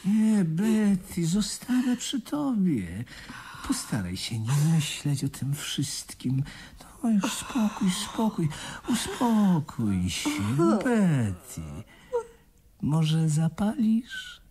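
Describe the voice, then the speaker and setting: An anxious, caring man in a radio play, with a mid-pitched voice that swings widely. He speaks at a slow pace, repeating words as he reassures and worries, with faint noise behind. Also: wildly swooping pitch, mellow, heavily acted. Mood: soothing. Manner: agitated, repeating words soothingly. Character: anxious, solicitous man soothing a frightened woman. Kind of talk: radio drama acting.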